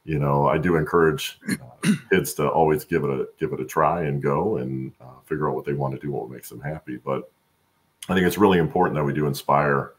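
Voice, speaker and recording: A middle-aged American man with a gravelly voice, chatting as an interview guest and often checking in with "right?". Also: extremely low pitch, fairly even intonation, fairly quick. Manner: tags phrases with "right?". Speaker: guest, supply chain professional. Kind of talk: casual conversational interview. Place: home room, on video call. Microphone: video call.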